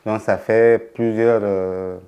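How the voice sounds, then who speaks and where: A man's voice: on the low side, little pitch movement, slow, clean tone, lo-fi sound. A man from Chad talking reflectively in an interview, hesitating and searching for his words. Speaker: documentary interviewee.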